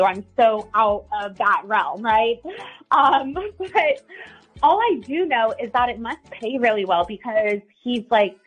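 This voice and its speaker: A woman plays a suspicious, bewildered girlfriend phoning a radio show. Her voice is high and she rambles in casual, filler-heavy chat over a music bed. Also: poor audio.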